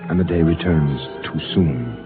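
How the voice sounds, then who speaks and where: An actor playing a stern but torn ship captain with a firm, controlled delivery, in a 1950s radio drama. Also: male voice, rumbling low, moderate pace. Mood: solemn. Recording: tinny 1950s radio transcription with music.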